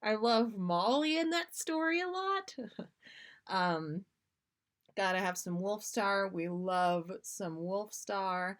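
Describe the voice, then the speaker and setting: Slow-paced woman hosting a casual livestream. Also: mid-range voice, lively intonation. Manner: giggly, self-deprecating. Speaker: podcast host. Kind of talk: casual livestream chat between story readings.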